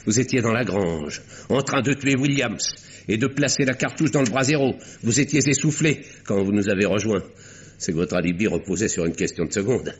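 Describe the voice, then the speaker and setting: An actor playing a calm doctor-detective who exposes a murderer in an old radio drama, speaking in a measured, pointed way with dramatic pauses. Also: male voice, lowish voice, natural voice. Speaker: Doctor Westlake. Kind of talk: 1950s radio drama acting. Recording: dull old radio recording.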